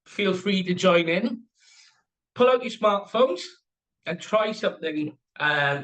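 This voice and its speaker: A man's voice: mid-range voice, a bit bright, low-quality recording. A Welsh man co-presenting a live online workshop, upbeat as he quotes figures and invites listeners to join in.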